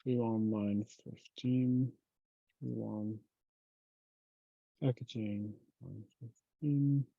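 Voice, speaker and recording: A man's voice: on the low side, steady tone, extremely slow, creaky, long pauses, mellow, dry recording. A man talking casually through a technical problem on an online call, muttering as he thinks aloud. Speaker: software engineer in a working group call. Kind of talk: casual technical troubleshooting talk. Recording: dull, lo-fi call audio.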